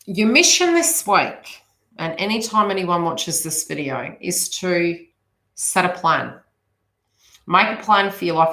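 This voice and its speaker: Bright-voiced woman, echoey room. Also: fairly low pitch. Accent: slight New Zealand. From New Zealand. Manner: rambling, conversational asides to viewers. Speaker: host, psychic reader chatting with live viewers. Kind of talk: casual livestream chat, spiritual advice. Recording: roomy, echoey sound.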